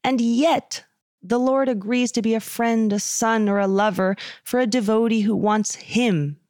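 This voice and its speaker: A woman co-hosting a podcast, chatting casually and quickly about scripture and laughing often. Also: fairly high pitch, steady tone, a little mellow, no room sound, clean studio sound. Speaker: podcast co-host.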